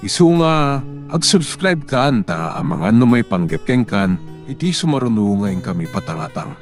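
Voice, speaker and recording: A man's voice: mid-range voice, big pitch swings, moderate pace. A man with an Ilocano accent hosting a drama series, giving scripted promotional narration. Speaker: narrator and host. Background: guitar music.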